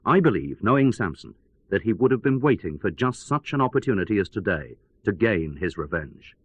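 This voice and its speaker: A man's voice: fairly low pitch, big pitch swings, fairly quick, highs cut off, a little hiss or hum. A man playing a radio news correspondent, telling events as a dramatic, wry and emphatic report. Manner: wry, theatrical, emphatic storyteller.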